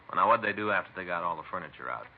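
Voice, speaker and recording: An actor playing a courteous, practical American detective partner in a vintage radio drama, asking brief polite questions. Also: male voice, deep voice, animated, very fast, creaky, full character voice. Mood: courteous, curious. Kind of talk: 1940s-50s radio acting. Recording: thin phone-like vintage radio recording, faint hiss.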